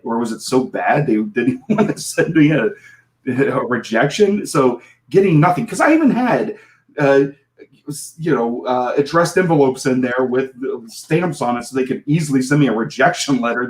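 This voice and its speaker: A man's voice: mid-range voice, expressive, moderate pace, darkish tone. Guy in his 40s chatting on a podcast, rambly and self-deprecating, laughs a lot. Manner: rambling, self-deprecating storyteller who laughs often and acts out quotes. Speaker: comic book creator guest. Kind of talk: casual podcast interview.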